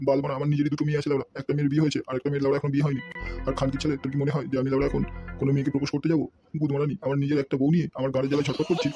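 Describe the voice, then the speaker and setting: A man plays a scruffy middle-aged cartoon drunkard. He rambles and swears very quickly, with music running behind him. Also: a little high, natural voice. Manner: rambling, aggrieved, constantly swearing. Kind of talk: amateur comedy cartoon voice-over.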